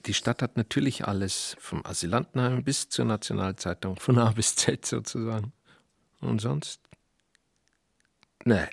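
An actor playing a wry, guarded writer who is an outsider, speaking in a dry, hesitant, understated way. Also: male voice, lowish voice, measured pace, gravelly, slightly rough, dry recording, full character voice. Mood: guarded, casual. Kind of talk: naturalistic film acting.

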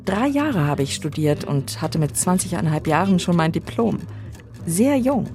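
Warm, lively German voice-over woman. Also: fairly low pitch, normal speed, soft background music. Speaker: voice-over translator. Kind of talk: scripted voice-over translation.

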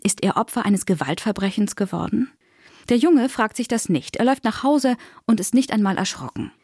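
A woman's voice: mid-range voice, lively intonation, normal speed, a little mellow, breathy edge, no echo. Female narrator, mid-range voice, reading a prepared summary, very dry sound. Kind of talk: scripted narration.

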